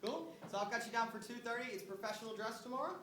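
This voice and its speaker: A man's voice: extremely high pitch, lively intonation, fast. A man working as a recruiting trainer, talking through a casual sales phone script in an upbeat way and often checking in with short tag questions. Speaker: recruiting trainer making phone screening calls. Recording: roomy, distant mic, noisy background.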